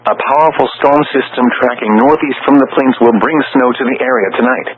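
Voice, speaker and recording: A man's voice: mid-range voice, fairly quick. Muffled synthetic American male weather radio announcer. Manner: formal, impersonal, segmented phrasing. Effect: radio transmission. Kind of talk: scripted weather bulletin read by synthetic text-to-speech voice. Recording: tinny narrow-band weather radio with hum.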